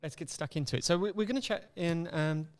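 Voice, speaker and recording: A man hosting a podcast, friendly and casual with plenty of ums. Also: on the high side. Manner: friendly, lots of ums and quick questions. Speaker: podcast host.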